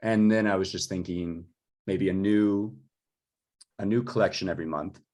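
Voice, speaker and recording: A man's voice: low voice, steady tone, normal speed, a little mellow. A man chatting informally, thinking aloud in a tentative way as he talks through his work. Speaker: composer. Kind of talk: informal chat about own work.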